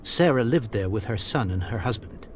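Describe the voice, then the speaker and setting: An actor playing a proud, grieving old industrialist in a period mystery game, speaking formally and earnestly. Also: male voice, mid-range voice, animated, moderate pace, close to an everyday voice. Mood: solemn, urgent. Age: older. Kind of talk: video game voice acting, period mystery drama. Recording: faint background noise.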